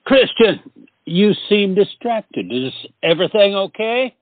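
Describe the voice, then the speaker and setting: A man's voice: sits high, big pitch swings, unhurried, dry recording. A man playing a polite, concerned soldier comrade who speaks a little hesitantly in a stage comedy. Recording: tinny, narrow-band sound.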